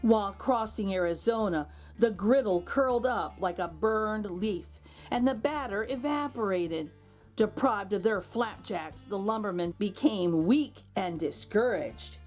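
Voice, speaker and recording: A woman reading a picture book aloud to children as an expressive storyteller, with exclaimed asides to her young listeners. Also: mid-range voice, unhurried, soft background music. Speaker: children's read-aloud host.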